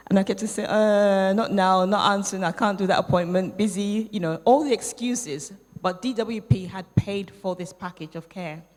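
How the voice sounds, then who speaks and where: A woman hosting a community event in a church, introducing the speaker with a lively personal story full of restarts. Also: fairly low pitch. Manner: animated storytelling, rambling restarts. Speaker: event host. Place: church hall. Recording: reverberant hall.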